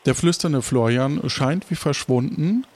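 A man's voice: mid-range voice, a little slow, a little mellow. A man improvising narration as game master of a tabletop roleplaying game.

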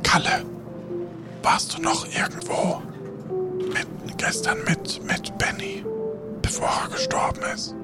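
A performer playing a blunt, crude crewmate in an improvised pirate adventure, speaking in a breathy, voiceless whisper. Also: slow pace, pauses often, over loud music, lightly acted. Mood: hushed.

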